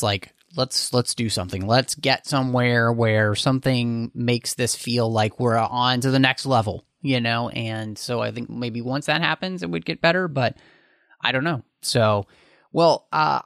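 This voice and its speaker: A man hosts a casual podcast discussion with a mid-pitched voice. He talks informally and playfully, like someone among friends. The sound is dry, with very little room in it. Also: fairly bright tone, no room sound. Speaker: podcast host.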